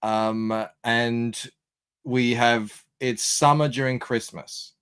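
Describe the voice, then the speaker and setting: A man's voice: mid-range voice, steady tone, slow pace, a bit bright, smooth. An Australian man chatting casually on a podcast, explaining things at length. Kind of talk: casual chat.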